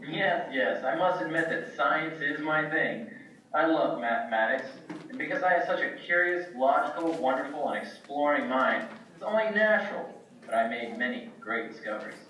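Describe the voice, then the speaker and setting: A man's voice: fairly high pitch, lively intonation, normal speed, sharp tone. A man acting an eager, boastful ancient Greek scientist puppet in a children's show, pompous and theatrical. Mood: proud. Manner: pompous, theatrical, self-admiring. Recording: echoey room, far from mic, faint noise.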